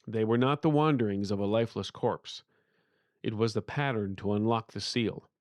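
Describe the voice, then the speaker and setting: A man's voice: on the low side, normal speed, a little mellow, dry recording, close to an everyday voice. Trapped spirit of an uncle , played by a male narrator, earnest and imploring, fantasy audiobook, close dry sound. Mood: calm, persuasive. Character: earnest, pleading uncle's spirit trapped behind a sealed portal.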